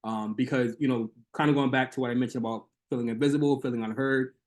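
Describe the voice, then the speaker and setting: A man's voice: medium pitch, fairly even intonation, fast talker, darkish tone. A man presenting research in an academic Q&A on a video call, talking hesitantly with many fillers and restarts.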